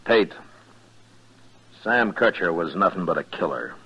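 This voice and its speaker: An actor playing a stern, weary middle-aged American marshal with a husky voice and blunt, deliberate delivery in a 1950s radio western. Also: male voice, lively intonation, a bit bright, exaggerated voice. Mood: exasperated. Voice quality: husky, deep. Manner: blunt, deliberate, hard-edged. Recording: dull vintage radio recording.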